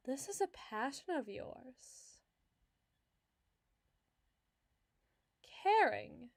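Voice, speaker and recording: A woman's voice: sits high, darkish tone, no room sound, clean studio sound. A woman playing an obsessive, lovesick hospital patient in an ASMR roleplay, talking in a dramatic, coy and wheedling way. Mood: adoring, intense. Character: obsessive, clingy lovesick female patient, theatrical flirt.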